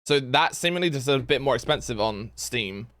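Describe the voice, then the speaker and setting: A young man casually chatting while streaming a game, rambling with lots of fillers and excited yelps. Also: mid-range voice, moderate pace, no echo. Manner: rambling, filler-heavy, reads chat aloud, yelps with excitement. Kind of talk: casual live-stream gameplay chat.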